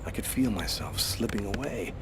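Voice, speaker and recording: Low-voiced, haunted man on the run played by an actor. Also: moderate pace, noisy background, lightly acted. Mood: bleak. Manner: introspective, somber. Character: troubled fugitive man, haunted, guarded, slipping mentally. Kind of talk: dramatic game acting with inner monologue. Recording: music under the voice.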